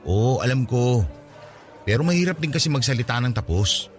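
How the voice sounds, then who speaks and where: A man with a Filipino accent playing a cocky but caring young best friend in a radio drama, bantering and expressive. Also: mid-range voice, moderate pace, faint music behind, natural voice. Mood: encouraging.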